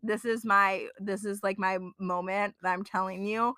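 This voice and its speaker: A woman's voice: mid-range voice, fairly even intonation, moderate pace, pushed and bright. A young woman with an Upper Midwest accent, co-hosting a casual podcast chat in a scattered, giggly, rambling way. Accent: Upper Midwest American. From Upper Peninsula of Michigan. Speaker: podcast co-host.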